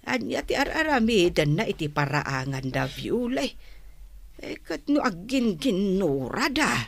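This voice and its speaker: Slow, grumbling older Filipino woman. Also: mid-range voice, sing-song, vocal fry, full character voice. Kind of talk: Filipino radio drama acting.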